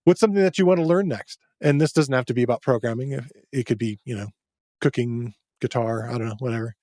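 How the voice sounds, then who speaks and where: A man's voice: medium pitch, fast, a little mellow, no room sound. Give me an upbeat male podcast host asking questions, with a dry, close sound. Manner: upbeat, affirming, asks open questions. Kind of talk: casual interview chat.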